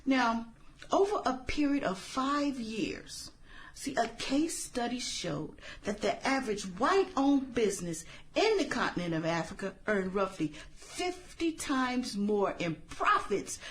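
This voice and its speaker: A woman playing an earnest, idealistic, reserved filmmaker in a satirical radio drama, speaking in a measured, explanatory, slightly formal way. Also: a little high.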